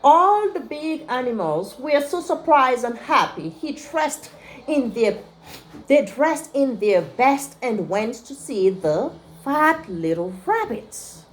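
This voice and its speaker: A woman reading a picture book aloud to young children as a teacher, pausing to check in with her listeners. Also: high-pitched, big pitch swings, a little hiss or hum, low-quality recording.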